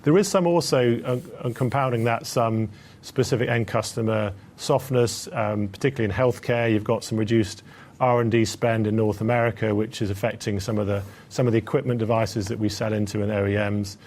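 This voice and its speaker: Low, creaky-voiced male executive. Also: fairly even intonation, darkish tone. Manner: hesitant, halting, measured. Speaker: company executive. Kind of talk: earnings call answers to analysts.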